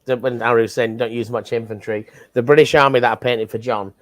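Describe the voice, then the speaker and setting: Middle-aged man chatting, medium pitch. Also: fairly even intonation, quick pace. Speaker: livestream guest. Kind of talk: casual chat.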